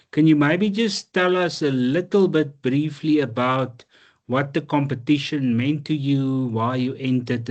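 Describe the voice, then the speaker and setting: A man interviewing a student, asking questions in a polite, tentative way full of softening hedges. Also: mid-range voice, dull audio.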